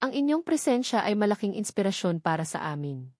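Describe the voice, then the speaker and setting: A woman with a Filipino accent narrates a sentimental romance story from a script in an earnest, measured way. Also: medium pitch, sing-song, darkish tone, no echo, clean studio sound.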